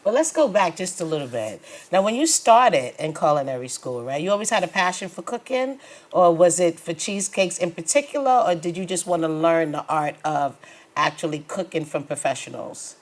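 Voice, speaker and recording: A woman's voice: lowish voice, animated, moderate pace. A woman hosting a promotional interview show, speaking in a chatty way and addressing people directly. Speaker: TV show host.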